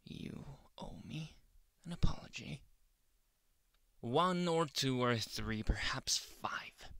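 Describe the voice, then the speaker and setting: A man playing a grumpy, possessive yandere boyfriend in an ASMR roleplay, brooding and theatrical, often dropping into breathy whispers close to the mic. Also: medium pitch, lively intonation, slow pace, a bit bright, dry recording, exaggerated voice. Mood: demanding. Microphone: close ASMR microphone.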